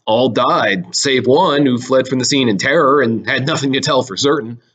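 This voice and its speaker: A grave royal envoy of classical tragedy, read aloud by a man. He reports formally, in a measured, declamatory way. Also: mid-range voice, fairly bright tone, slightly rough, voice pushed down.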